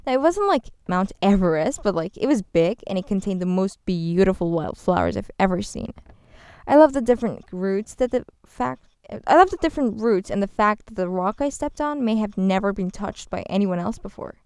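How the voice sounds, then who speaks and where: A woman's voice: medium pitch, very animated, normal speed, a little mellow, smooth, no echo. A woman from Ghana, a guest on a radio show, chatting in a bubbly, rambling way with frequent restarts in a studio. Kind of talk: casual interview chat. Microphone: studio microphone.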